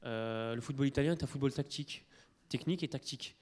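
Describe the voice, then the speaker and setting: A man of Italian origin speaking as a conference panelist in a university lecture hall, explaining things hesitantly with many pauses and restarts. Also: mid-range voice, a little mellow. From Italy. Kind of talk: explanatory talk to an audience.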